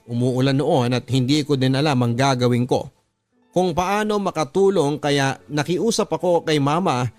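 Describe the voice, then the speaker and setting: Filipino male storyteller, higher-pitched, over music. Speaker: radio drama host and narrator. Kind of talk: scripted dramatic reading of listeners' letters. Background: background music.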